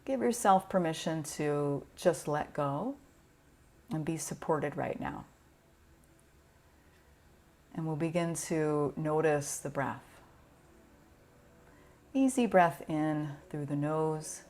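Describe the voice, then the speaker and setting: Low-voiced woman guiding a gentle relaxation. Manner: gentle, soothing, unhurried instruction with pauses. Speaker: yoga nidra teacher. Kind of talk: guided relaxation meditation.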